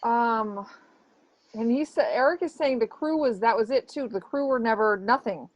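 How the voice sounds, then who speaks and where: A woman working as a medium in a casual chat, passing on what she hears, halting and hesitant. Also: on the high side, dry recording. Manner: hesitant, full of ums and restarts. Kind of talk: casual chat, relaying messages. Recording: dull, muffled sound.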